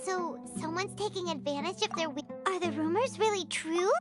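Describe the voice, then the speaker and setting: Squeaky, very high fairy companion. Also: female voice, moderate pace, over music. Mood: inquisitive. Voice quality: squeaky, childlike. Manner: bubbly, refers to self in third person. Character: tiny chirpy excitable floating fairy companion girl. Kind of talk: video game voice acting.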